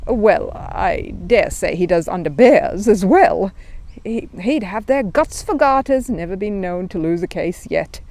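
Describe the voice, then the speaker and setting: A woman's voice: mid-range voice, animated, measured pace, a little mellow, dry recording. A woman voicing grumbling, opinionated London market traders in a children's storybook, emphatic and colloquial. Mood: emphatic, advising.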